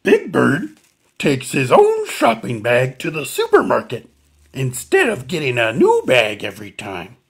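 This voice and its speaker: A man voicing an excitable furry little monster puppet, reading a children's picture book in a strained, throaty voice. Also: medium pitch, vocal fry, husky, full character voice. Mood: earnest, instructive. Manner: emphatic, swooping, deliberate reading to small children.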